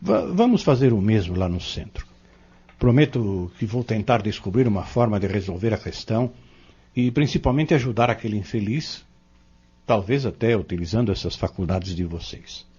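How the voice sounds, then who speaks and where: Man acting a low, very husky fatherly mentor. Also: expressive, normal speed, exaggerated voice. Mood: calm, earnest. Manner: gentle, instructive. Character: patient, fatherly spiritual mentor, calm and devout. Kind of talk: audio drama acting. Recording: muffled lo-fi audio.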